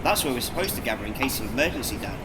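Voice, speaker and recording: A man's voice: mid-range voice, very animated, racing, heavy background noise, lightly acted. A man playing a pedantic young son in an audio drama, precise and matter-of-fact. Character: pedantic young son correcting his father. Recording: distant mic under loud music.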